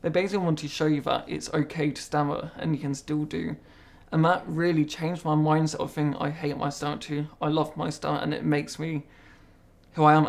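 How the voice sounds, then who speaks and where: Young man, stammer, repeats words, gravelly, flat delivery, interview. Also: on the high side. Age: young adult. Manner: stammers, repeats words, speaks slowly and carefully. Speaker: interviewee, apprentice. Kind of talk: interview conversation.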